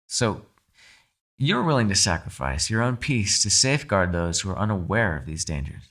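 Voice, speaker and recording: A man playing a captive mind-controlling being in an ASMR roleplay, calm, shrewd and persuasive, speaking in a measured, formal, quietly condescending way. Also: on the low side. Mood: mock sympathy. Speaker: voice actor. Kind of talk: ASMR roleplay, dramatic monologue.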